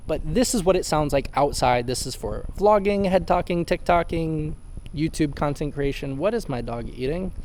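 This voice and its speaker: Young male reviewer, fairly high voice, relaxed and talky, throws in playful asides. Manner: chatty, joking asides to viewers. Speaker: tech reviewer, channel host. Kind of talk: casual product review to camera.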